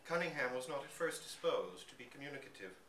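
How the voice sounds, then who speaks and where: A man giving an expressive live dramatic reading of a literary short story. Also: mid-range voice, fast. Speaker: story reader. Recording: echoey room, distant mic, background noise.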